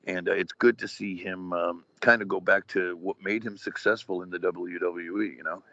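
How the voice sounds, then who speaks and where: Deep gravelly man in his forties. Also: fairly even intonation, normal speed, fairly bright tone. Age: 40s. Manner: rambling, lots of fillers and wrestling slang. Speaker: guest, retired wrestler. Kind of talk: casual podcast chat. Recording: dull lo-fi podcast audio, dry.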